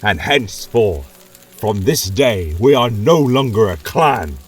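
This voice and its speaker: A man's voice: lowish voice, sing-song, slow, faint music behind. A man voicing a proud, generous chieftain giving a rousing toast to his people.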